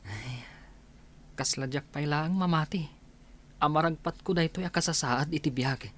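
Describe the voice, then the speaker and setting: A man's voice: fairly high pitch, unhurried, fairly bright tone. A man playing a humble, grateful young doctor in an Ilocano radio drama.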